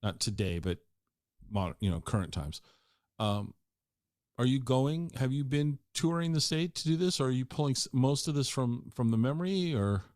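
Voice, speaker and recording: A man hosting an interview podcast, asking halting questions and restarting them often. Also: mid-range voice, expressive, a little mellow, dry recording, studio quality. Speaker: podcast host. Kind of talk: casual interview.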